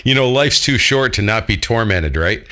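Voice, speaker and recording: A man's voice: fairly low pitch, animated, moderate pace, pushed and bright. An American man chatting casually on amateur radio in a jokey, colloquial and blunt manner. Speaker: amateur radio operator, channel host. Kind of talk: casual ham radio chat.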